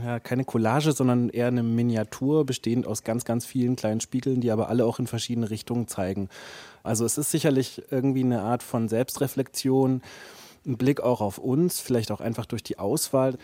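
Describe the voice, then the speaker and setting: A man's voice: medium pitch, fairly even intonation, a little fast, warm tone, no echo. A man answering as an interview guest, thinking aloud in careful, searching and hedged phrases. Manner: thoughtful, hedging, searching phrasing. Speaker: interview guest, radio play author. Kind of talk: reflective interview talk.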